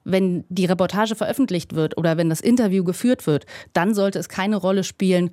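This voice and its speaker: A woman's voice: fairly low pitch, brisk, mellow, dry recording, studio quality. A woman journalist from eastern Germany, making a reasoned, articulate argument on a discussion panel.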